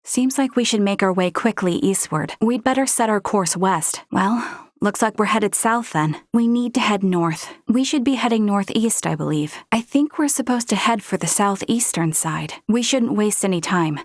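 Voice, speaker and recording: A woman's voice: mid-range voice, expressive, moderate pace, few pauses, no room sound, studio quality, lightly acted. An actress playing an earnest, polite and conscientious young female Federation officer in a video game. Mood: brisk, determined. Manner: polite, conscientious, sometimes hesitant.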